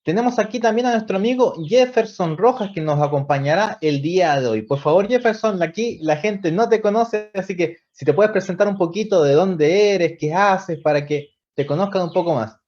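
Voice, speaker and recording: Upbeat high-voiced Chilean host. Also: male voice, normal speed, hardly any pauses, a little mellow. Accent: Chilean Spanish. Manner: upbeat, inviting. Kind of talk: live youth Bible study show. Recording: dull lo-fi stream audio.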